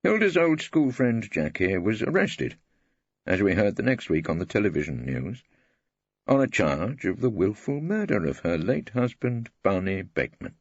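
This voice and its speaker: A man performs an old English barrister telling his story for an audiobook. The voice sits low and husky, swooping with wry, pompous relish. The sound is slightly dull. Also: sing-song, normal speed, dry recording, exaggerated voice. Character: weary, witty old English barrister, grumbling narrator. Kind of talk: scripted first-person audiobook narration.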